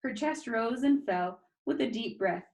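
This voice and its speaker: A woman's voice: a little high, animated, measured pace, creaky, darkish tone. Woman reading a children's novel aloud. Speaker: storybook reader. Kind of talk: audiobook-style narration of a children's novel.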